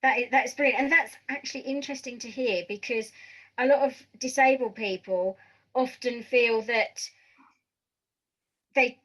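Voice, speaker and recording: A woman's voice: fairly high pitch, moderate pace, long pauses, a bit bright, low-quality recording. A woman hosting a conversational podcast interview, affirming and encouraging her guest.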